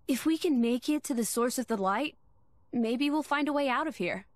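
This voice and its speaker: A woman playing a gentle, earnest young fantasy heroine in a video game, with a thoughtful manner. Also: high voice, normal speed, dry recording, natural voice. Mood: pensive. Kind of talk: video game cutscene voice acting.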